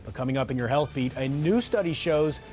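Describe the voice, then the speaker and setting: A man's voice: mid-range voice, lively intonation, fairly quick, a little mellow, faint music behind. A man with an American accent reading scripted news as an anchor.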